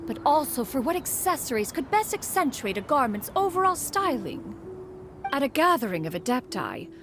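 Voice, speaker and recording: A proud, formal crane spirit speaks in measured, archaic phrases. Her voice sits rather high and swoops up and down. Game music plays clearly behind her. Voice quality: stately, measured. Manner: archaic, refers to herself as "one". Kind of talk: game voice acting.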